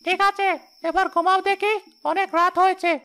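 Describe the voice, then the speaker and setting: A woman's voice: very high voice, level tone, moderate pace, bright, forceful tone. A woman voicing a placating, reassuring tree-dwelling ghost in a Bengali cartoon story.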